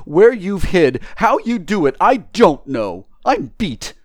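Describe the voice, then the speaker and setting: A man's voice: sits high, big pitch swings, takes it slowly, dry recording, clean studio sound, pitched above the performer's own voice, full character voice. A man voicing a bewildered, indignant tramp in a storybook, stubborn and blustering, with coarse colloquial speech. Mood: baffled. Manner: colloquial dropped grammar, blustering. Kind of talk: acted audiobook storytelling.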